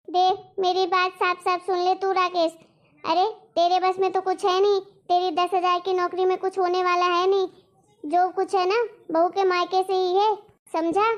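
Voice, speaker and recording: A woman voicing a greedy, bossy middle-aged mother-in-law in a Hindi cartoon drama, nagging and insistent, with a pitch-shifted voice. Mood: scolding, scheming. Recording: dull lo-fi sound.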